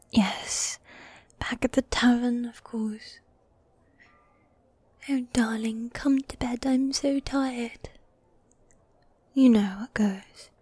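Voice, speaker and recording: Woman as a slow, breathy demon girlfriend, whispering. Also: a little high, no echo. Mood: coaxing, tender. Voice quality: soft, breathy, often whispering. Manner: affectionate, coaxing, drawn-out genteel phrasing. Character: needy, dominant goth demon girlfriend, teasing and theatrical. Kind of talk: medieval fantasy ASMR roleplay.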